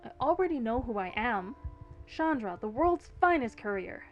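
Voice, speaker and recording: A woman's voice: fairly high pitch, very animated, moderate pace, lo-fi sound, soft background music. A woman playing a cocky, eager young girl in an audio drama, with a theatrical, impatient manner. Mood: boastful.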